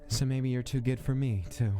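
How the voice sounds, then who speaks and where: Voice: mid-range voice, fairly even intonation, moderate pace, mellow, lightly acted. An actor voicing a bitter, defeated young skeleton brother, downcast and resigned, in a dramatic comic dub. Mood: troubled, resigned.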